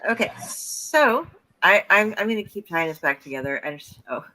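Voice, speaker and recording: A woman's voice: mid-range voice, sing-song, normal speed, bright, forceful tone, low-quality recording. A woman hosting a casual video-call chat about storytelling, rambling with fillers and restarts. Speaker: host.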